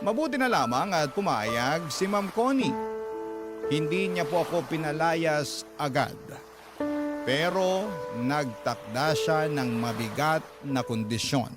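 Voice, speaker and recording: A male radio drama narrator reads a love letter as a personal confession. His fairly high voice is anguished and sentimental, lingering on pauses. Music is loud throughout behind him. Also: expressive. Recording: prominent music bed.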